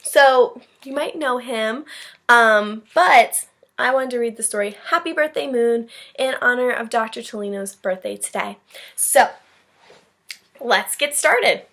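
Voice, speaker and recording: A woman with a fairly high voice speaks as a teacher reading a picture book to her class. She moves between narrating the story and explaining it in simple terms for young children. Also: unhurried, fairly bright tone. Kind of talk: storybook read-aloud with explanatory asides.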